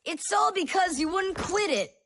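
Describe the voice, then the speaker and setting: A woman's voice: very high voice, expressive, moderate pace, fairly bright tone. A woman voicing an eager, easily exasperated young boy in a children's cartoon dub. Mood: annoyed, exasperated.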